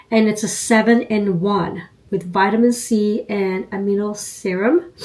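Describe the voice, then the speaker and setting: A woman in her fifties getting over a cold, nasal and congested, chatting casually to her viewers about her routine. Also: medium pitch. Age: 50s. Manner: unhurried, chatty, frequent ums and you knows. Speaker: beauty vlogger. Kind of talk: casual chat to camera.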